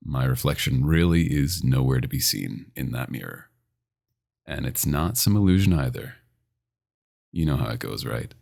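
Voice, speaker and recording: A man playing a very deep-voiced possessive vampire doctor. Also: animated, moderate pace, a little mellow, exaggerated voice. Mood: teasing, boastful. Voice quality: very deep, gravelly. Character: male vampire doctor, dominant, possessive, smoothly menacing. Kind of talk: ASMR roleplay acting.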